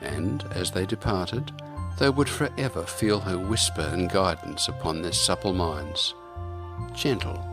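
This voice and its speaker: A man with a very low voice presents like a theatrical radio host. His scripted delivery has the shape of storytelling, and music plays clearly behind him. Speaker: radio program host and narrator.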